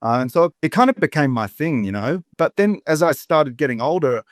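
A man's voice: a little high, lively intonation, fairly quick, no echo. Australian man, chatty storyteller. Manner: warm storyteller, chatty with many "you know" asides. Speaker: guest, poet and rapper. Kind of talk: relaxed reflective interview talk.

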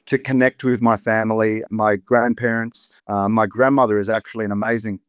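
A man's voice: lowish voice, level tone, brisk, clear, resonant tone, no echo, clean studio sound. A man in his thirties with an Australian accent, a poet and rapper telling stories as an interview guest, chatty with many asides. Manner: warm storyteller, chatty with many "you know" asides. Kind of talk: relaxed reflective interview talk.

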